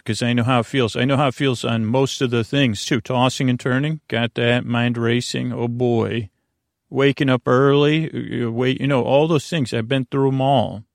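A man with a creaky voice hosting a sleep podcast in a meandering, halting monologue that often interrupts itself. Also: medium pitch, fairly even intonation, dry recording, studio quality. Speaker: podcast host.

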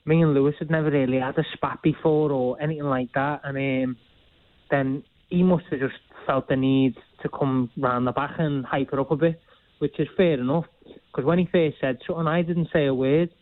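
Young Scouse boxer guest, chatty and blunt, slightly high voice, thin dry phone-line sound. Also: male voice, steady tone, moderate pace, no echo. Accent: Liverpool (Scouse). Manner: chatty, laughs often, plain-spoken. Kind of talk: casual interview chat.

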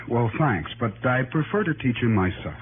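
A man's voice: medium pitch, big pitch swings, moderate pace, close to an everyday voice. An actor playing a stubborn, guarded stepfather in a 1960s radio drama, speaking hesitantly and evasively. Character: stubborn, guarded American stepfather and fly fisherman. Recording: noisy narrowband vintage radio recording.

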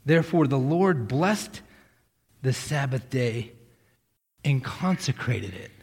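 A man's voice: mid-range voice, lively intonation, takes it slowly, slightly rough. A man from Massachusetts preaching a casual, joking sermon as pastor, often restarting his sentences. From Massachusetts, USA. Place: church sanctuary. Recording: hall reverb.

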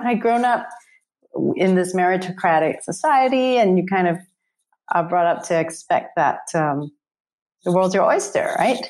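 A woman from Singapore answering as an interview guest, reflective and halting as she explains her career. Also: on the low side, darkish tone. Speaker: interview guest, coach and investor.

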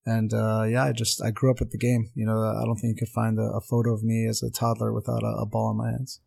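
A man's voice: lowish voice, monotone, fast talker, gravelly, warm tone, slightly rough. A young man whose first language is Armenian, a soccer coach speaking earnestly as an interview guest, with many fillers and restarts. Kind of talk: casual interview talk.

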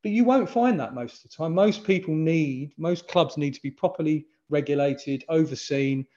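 Guy on a podcast, kinda high voice, blunt and emphatic, muffled lo-fi sound. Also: normal speed, dark tone. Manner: emphatic, blunt, with frequent restarts. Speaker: podcast guest, fan engagement consultant. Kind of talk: casual podcast conversation.

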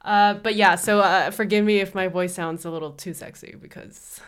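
A woman's voice: medium pitch, steady tone, normal speed, clear, resonant tone. A congested woman co-hosting a football podcast, chatting casually with dry teasing. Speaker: podcast co-host.

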